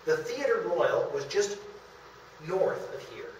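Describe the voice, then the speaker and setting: A man's voice: fairly high pitch, big pitch swings, slow pace. Man lecturing in a very echoey room. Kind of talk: illustrated history lecture. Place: meeting room in a historic house, before an audience. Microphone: distant camera in the audience. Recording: very echoey room, far off, faint noise.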